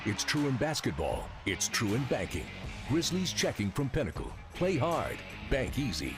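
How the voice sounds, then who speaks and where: Animated male commercial announcer over music. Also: medium pitch, moderate pace. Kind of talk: scripted commercial narration.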